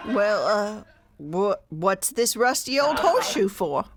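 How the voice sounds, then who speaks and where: A woman's voice: medium pitch, very animated, slow pace, creaky, a little hiss or hum, heavily acted. A woman playing a fussy, bossy, skeptical wife in an old-time radio comedy, fretful and emphatic.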